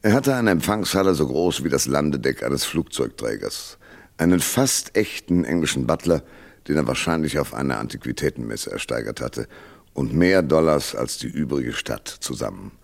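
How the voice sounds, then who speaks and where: An actor playing a cynical, wisecracking private detective in a 1970s radio noir parody, dry and laconic. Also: male voice, rumbling low, lively intonation, moderate pace, exaggerated voice. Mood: sardonic narrating. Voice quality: gravelly. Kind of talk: 1970s radio drama noir parody, first-person narration and dialogue.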